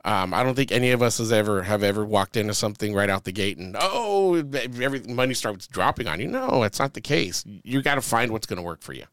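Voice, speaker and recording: A man's voice: on the low side, animated, fast, few pauses, fairly bright tone, dry recording, clean studio sound. A man co-hosting a podcast, giving casual marketing advice in a rambling way, full of fillers and sports analogies. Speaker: podcast co-host.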